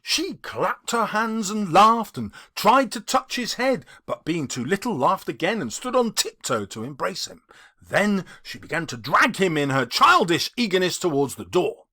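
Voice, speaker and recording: A man narrating a classic story dramatically, with theatrical and deliberate phrasing. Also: high-pitched, big pitch swings, pushed and bright. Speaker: audiobook narrator and English teacher.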